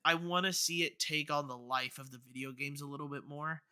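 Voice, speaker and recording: A man's voice: a little high, steady tone, a little fast, sharp tone, clean tone. A man co-hosting a podcast in casual discussion, earnestly rambling as he thinks aloud. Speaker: podcast co-host.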